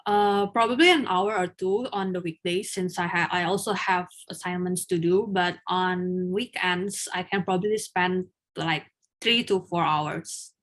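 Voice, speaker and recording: A young woman in a mock English speaking test, answering and then asking questions, often pausing with filler sounds. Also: fairly low pitch, fairly even intonation. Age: young adult. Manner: hesitant, frequent filler sounds. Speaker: test candidate, then examiner.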